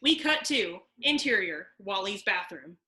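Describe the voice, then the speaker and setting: A woman's voice: mid-range voice, very animated, measured pace, bright, forceful tone. A woman narrating stage directions in a scripted comedy table read. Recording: roomy echo.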